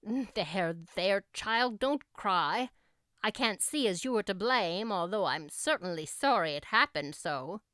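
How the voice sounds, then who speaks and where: A stern older woman, as a female narrator plays her, speaking in a clear, close voice. She is curt, practical and no-nonsense, with gruff kindness underneath, in storybook audiobook style. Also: medium pitch, animated, slow, fairly bright tone, no echo. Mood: brisk, exasperated. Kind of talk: audiobook character acting.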